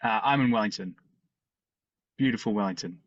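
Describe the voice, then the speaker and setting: A man introduces himself as a trainer in a matter-of-fact way. His voice is on the low side, and the video-call audio sounds dull and dry. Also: fairly quick, dry recording. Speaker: workshop trainer.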